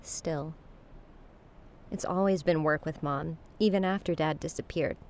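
A young woman venting to a friend, casual, faint rumbly noise behind. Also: measured pace, darkish tone. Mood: upset. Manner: frustrated, casual. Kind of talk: naturalistic audio drama acting.

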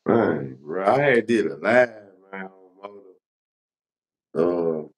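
A man's voice: low-pitched, expressive, slow. A man hosting a casual interview as a blogger, talking in a slangy, conversational and reflective way.

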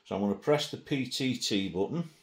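A man's voice: medium pitch, lively intonation, a little fast. A middle-aged man giving a casual hands-on gadget demonstration, presenting indoors at a table.

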